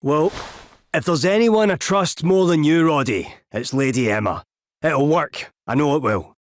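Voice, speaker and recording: A man's voice: a little high, unhurried, no echo, clean studio sound, lightly acted. A man playing a plucky, encouraging wizard adventurer in a video game cutscene. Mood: reassuring. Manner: jaunty, encouraging, expressive. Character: plucky, upbeat male wizard, loyal friend and adventurer.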